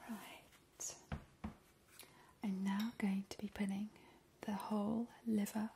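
A woman's voice: mid-range voice, level tone, slow pace, creaky, mellow, slight background noise. A woman voicing a gleeful, ghoulish vampire in an ASMR roleplay, relishing her words and repeating them soothingly. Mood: relishing, matter-of-fact. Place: indoor kitchen counter.

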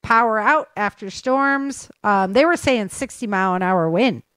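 A woman's voice: mid-range voice, measured pace, a bit bright, clear, resonant tone, dry recording. A woman podcast host talking informally to her listeners, mixing news rundown with casual chat.

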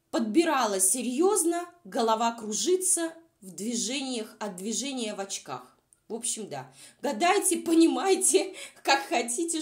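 A woman vlog reviewer who is unwell, giving informal, exasperated and sarcastic commentary. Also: on the high side. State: unwell, says voice is nearly gone. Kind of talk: informal mocking commentary over video.

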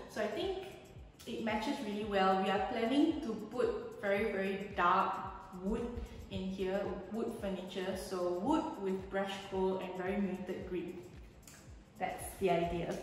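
A woman's voice: mid-range voice, distant mic. Chatty malaysian woman walking through a bare house, lots of um's, voice bouncing off empty walls, camera a few steps back. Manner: chatty and explanatory, with frequent "um" and gesturing. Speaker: home renovation vlogger. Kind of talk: casual house tour walkthrough. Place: empty house with bare rooms and stairwell, indoors. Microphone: handheld camera at a few feet. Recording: echoey empty rooms, voice a bit off-mic.

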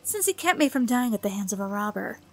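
A woman playing a stern, world-weary woman in an audio drama, speaking in a firm, measured way. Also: mid-range voice, sing-song, faint music behind. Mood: weary, guarded. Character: guarded, world-weary forest-dwelling woman, stern and principled.

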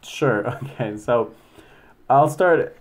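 A young man in his twenties, a podcast guest, explaining things quickly and animatedly over a video call. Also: medium pitch. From United States, Persian-speaking family. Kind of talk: casual podcast conversation.

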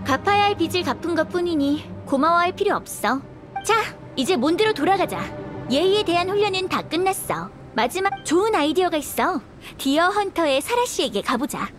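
Haughty, high-voiced young noblewoman knight. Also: very animated, fairly quick, music in the background. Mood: aloof. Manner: haughty, cool. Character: proud young noblewoman knight, aloof, grudge-bearing, secretly kind. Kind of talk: video game voice acting.

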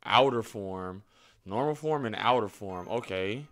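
A man's voice: on the low side, animated, unhurried, fairly bright tone. A young man hosting a reaction video, talking casually and offhand as he comments on what he is watching. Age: young adult.

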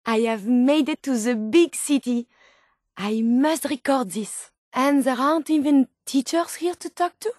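A woman's voice: high-pitched, a little slow, darkish tone, no echo, studio quality. A woman voicing a curious, polite female robot scientist in a video game, with precise, formal phrasing and earnest naivety. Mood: fascinated, excited. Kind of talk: video game companion dialogue.

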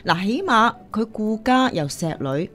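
Caring singing teacher, lively Cantonese. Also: female voice, medium pitch, a little slow. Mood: defensive. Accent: Hong Kong Cantonese. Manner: earnest, admonishing. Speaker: radio drama actress. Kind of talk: radio drama acting.

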